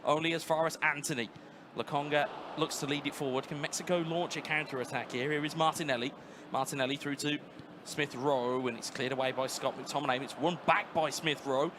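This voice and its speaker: A man calling live esports football play by play, rapid and rising to shouts at big moments. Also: fairly high pitch, fairly even intonation, noisy background. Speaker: play-by-play commentator.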